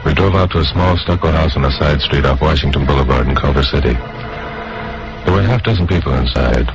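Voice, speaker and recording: A lonesome, guarded newscaster in a hardboiled old radio play. His voice is extremely deep, and his delivery is understated and weary. Music runs clearly behind the vintage recording. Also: a little fast, rough recording. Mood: tense, reflective. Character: lonesome disgraced male newscaster secretly working undercover, wry and guarded. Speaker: lead actor and first-person narrator. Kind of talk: old-time radio drama acting, hardboiled narration.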